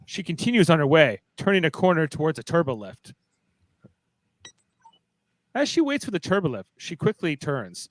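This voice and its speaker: A man's voice: a little high, very animated, normal speed, pauses often, no echo, poor audio. A man narrating stage directions in a playful, dramatic way for a live fan script reading.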